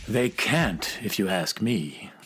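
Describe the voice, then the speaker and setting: Man playing an earnest, animated male schoolteacher. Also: on the low side, slow pace, slightly rough, full character voice. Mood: earnest, concerned. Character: earnest, courteous male schoolteacher of music on an alien planet. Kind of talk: children's audio drama acting.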